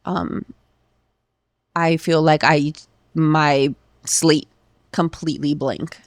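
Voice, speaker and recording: Gravelly low-voiced woman in her thirties. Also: steady tone, slow, no echo, clean studio sound. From Los Angeles. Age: 30s. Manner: candid, emotional, uses many fillers like "um" and "like". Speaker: podcast guest. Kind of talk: casual conversational interview.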